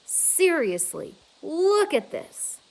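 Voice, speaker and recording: A woman voicing an earnest, excitable young girl in a storybook, insistent and eager. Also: extremely high pitch, drawn-out words, voice pushed up, full character voice, rough recording. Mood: urgent.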